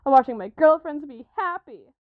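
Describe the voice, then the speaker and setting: A woman voicing an exuberant, brash young monster woman who blurts things out loudly in an ASMR roleplay. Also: high voice, normal speed, dry recording, dull audio. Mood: gleeful. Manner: loud, excitable, blurting.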